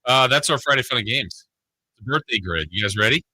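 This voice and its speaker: A man hosting sports talk radio, running a quiz game with lively banter. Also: medium pitch, fast talker, husky, no room sound, rough recording. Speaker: radio show host.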